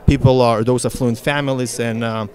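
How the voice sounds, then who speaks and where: A young man with a heavy Russian accent talks through an interview in a mid-range voice, rambling and leaning on "you know". He sounds relaxed and chatty. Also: medium pitch, normal speed. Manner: talkative, fills speech with "you know". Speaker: interviewee, real estate broker. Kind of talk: casual interview.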